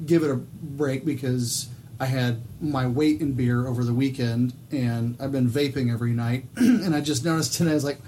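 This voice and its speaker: A man with a middle-pitched voice co-hosting a podcast, relaxed and curious as he asks questions. He laughs easily, and faint noise hums in the background. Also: normal speed, rough recording. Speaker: podcast co-host. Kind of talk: casual podcast chat.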